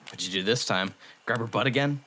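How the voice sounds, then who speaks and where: A man's voice: lowish voice, fairly quick, pushed and bright, slight background noise. A man voicing a brash, blunt young dog demon who grumbles and riles easily, in an anime-style audio drama.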